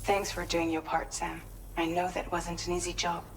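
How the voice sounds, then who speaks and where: A composed woman leading a crew in a video game, voiced by an actress. She speaks steadily and with care, in a fairly low voice. A faint hiss sits behind her. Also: normal speed, close to an everyday voice, rough recording. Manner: calm, caring, steady. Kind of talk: video game cutscene dialogue.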